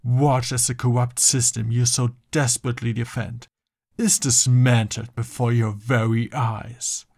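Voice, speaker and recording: Man as theatrical arch-villain: mid-pitched, slow, declamatory, scornful and menacing. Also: flat delivery, gravelly, a little mellow. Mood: triumphant, menacing. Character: grandiose male supervillain, menacing, scornful of heroes. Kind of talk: theatrical villain monologue in an audio roleplay.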